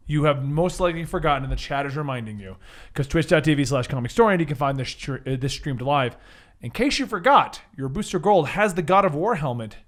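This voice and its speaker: A man acting as game master, bantering casually as he runs a tabletop superhero game. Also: fairly high pitch.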